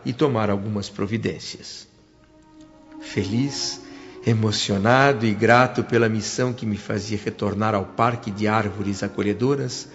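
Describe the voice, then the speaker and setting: A Brazilian actor playing an earnest, wondering man in the spirit world, narrating his own story in a reflective, expressive, literary way for a radio drama. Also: mid-range voice, gravelly. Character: spirit of a deceased husband and father, earnest, wondering newcomer. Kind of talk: radio drama acting and first-person narration. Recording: dull lo-fi radio drama over music.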